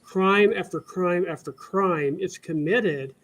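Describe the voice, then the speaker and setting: A man's voice: high-pitched, slow pace, a bit bright. A man giving earnest, blunt political commentary off the cuff as a panelist.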